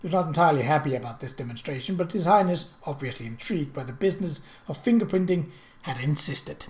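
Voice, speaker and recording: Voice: medium pitch, lively intonation, fast talker, darkish tone. A narrator reading a period mystery novel aloud as a scripted audiobook. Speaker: audiobook reader.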